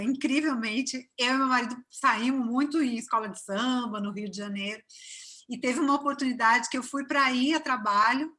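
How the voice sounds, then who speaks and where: Mulher baiana de voz aguda e brilhante. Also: female voice, fairly high pitch. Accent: Brazilian Portuguese, from Cachoeira (Bahia). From Brazil. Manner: warm, chatty, many fillers and restarts. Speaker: interview guest. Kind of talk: casual interview chat.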